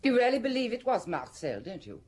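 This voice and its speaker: A husky, defiant wife. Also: female voice, fairly high pitch, very animated, fairly quick, darkish tone. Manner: defiant, clipped replies. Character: defiant loyal woman defending her husband. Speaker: suspect's wife. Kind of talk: classic radio drama acting.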